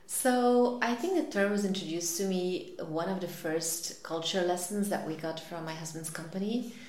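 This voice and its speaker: A woman's voice: fairly low pitch, normal speed, darkish tone. A woman from Belgium answering interview questions casually and hesitantly, with many fillers. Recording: echoey room with ambient noise.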